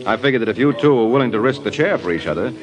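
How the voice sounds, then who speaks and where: An actor playing a suave, wisecracking private investigator in 1940s radio detective drama, with breezy, slangy tough talk. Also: male voice, mid-range voice, expressive, racing, music in the background, close to an everyday voice, rough recording. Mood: breezy, amused.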